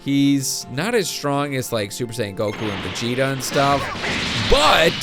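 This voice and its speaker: Lively young man musing over a game. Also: medium pitch, unhurried. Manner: musing, unsure, chuckles often. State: getting over a cold, voice a bit off. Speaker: gaming video host. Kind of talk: casual gameplay commentary. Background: game music.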